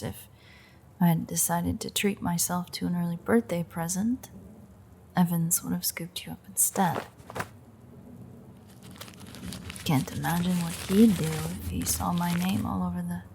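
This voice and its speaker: A woman voicing a bitter, threatening female vampire in an audio roleplay, sardonic and foul-mouthed, in a breathy, creaky murmur. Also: lowish voice, background noise. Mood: resentful, sardonic. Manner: sardonic, profane, taunting. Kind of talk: intimate audio roleplay monologue.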